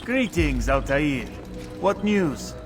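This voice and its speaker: An actor playing a courteous, helpful assassin bureau chief in a video game, with formal, polite delivery. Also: male voice, a little high, sing-song, takes it slowly, music in the background. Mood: businesslike. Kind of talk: scripted game cutscene acting.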